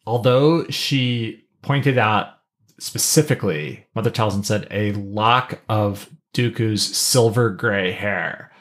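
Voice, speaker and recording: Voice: medium pitch, slow pace. A podcast co-host chatting casually and explaining things, often restarting sentences along the way. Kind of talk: casual chat about an animated series.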